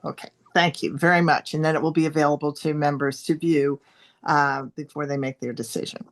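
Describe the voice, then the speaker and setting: A woman with a fairly low voice runs an endorsement interview over a video call. She speaks politely, in halting phrases broken by ums and uhs. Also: steady tone. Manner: polite, halting, many fillers.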